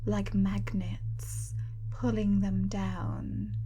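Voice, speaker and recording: Young woman doing a smug bossy hypnotist girl for asmr, super slow breathy near-whisper, mellow, faint hiss behind. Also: mid-range voice, little pitch movement. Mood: soothing, commanding. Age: young adult. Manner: soft, breathy, often near-whispered, drawn-out delivery. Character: teasing, controlling young woman hypnotist, smugly playful. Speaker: ASMR roleplay performer.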